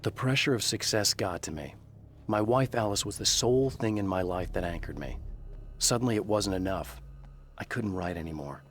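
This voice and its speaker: An actor playing a brooding, tormented novelist in a video game, narrating gravely and with measured delivery like noir. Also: male voice, lowish voice, a bit bright, slight background noise, close to an everyday voice. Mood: somber, regretful. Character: brooding tormented bestselling crime novelist hero, adult man. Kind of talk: scripted first-person noir narration.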